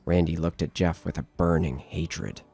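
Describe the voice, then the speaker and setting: Very deep male horror narrator. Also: steady tone, moderate pace, vocal fry, dark tone, husky, faint music behind. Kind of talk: scripted horror story narration.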